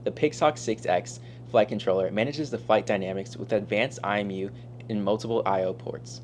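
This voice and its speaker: A man's voice: fairly low pitch, normal speed, darkish tone. A teenage boy from Miami, Florida, reading a scripted technical presentation as a student. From Miami, Florida, United States. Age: teens. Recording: faint hum and noise.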